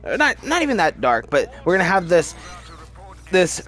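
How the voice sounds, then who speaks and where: A man hosting a movie ranking video with casual, opinionated commentary in an animated, rambling, halting way that often repeats words. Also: high voice. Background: background music.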